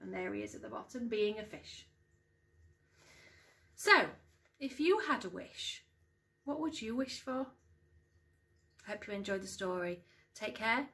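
A middle-aged woman reads a picture book to young children, expressively and deliberately, stressing key words, in a room at home. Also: medium pitch, animated, creaky, bright, forceful tone. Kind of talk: storybook read-aloud for young children. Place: small living room at home.

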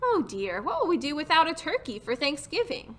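A woman's voice: high voice, big pitch swings, fairly quick, voice pushed up. A woman voicing a searching farmer and his fretting wife from a children's storybook, calling out. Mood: searching, fretful. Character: farmer and wife hunting a holiday bird, storybook.

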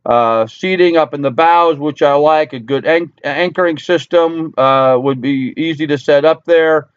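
Casual vlogger, male, middle-aged, American. Slightly high voice, rambling commentary, dull webcam sound. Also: unhurried, clean tone, no echo. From Long Island, New York, USA. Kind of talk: casual talk-through commentary. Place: small cluttered wooden-ceilinged room indoors. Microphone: computer webcam at desk distance.

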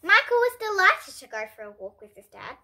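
Animated, high-pitched child storybook reader. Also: brisk. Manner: animated, careful reading with stumbles. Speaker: host of a children's book reading series. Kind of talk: expressive storybook read-aloud.

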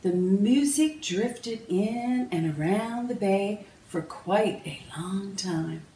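A woman reading a children's picture book aloud in a measured, expressive storytelling manner. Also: medium pitch. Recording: roomy, distant mic, faint noise.

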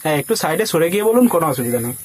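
Guy, kinda high voice, prank calling as a casting agent, coaxing and bossing gently. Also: very animated, very fast, slight background noise. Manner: coaxing, instructing. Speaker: prank caller posing as a film casting agent. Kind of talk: prank phone call, casual persuasive talk.

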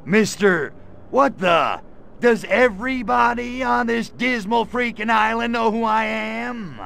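A man's voice: very high-pitched, slow pace, a bit bright, a little hiss or hum, full character voice. An actor voicing a brash, flirty male grey alien invader in a video game cutscene, cracking jokes in slangy lines. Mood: wisecracking. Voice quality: gravelly, slangy wisecracking delivery.

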